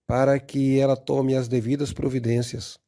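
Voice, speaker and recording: Homem interpretando uma autoridade formal, voz rouquenha crepitante. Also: male voice, medium pitch, flat delivery, normal speed, warm tone, close to an everyday voice. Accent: Brazilian. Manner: formal, informative. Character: male authority figure giving formal advice. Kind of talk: scripted educational cartoon dialogue.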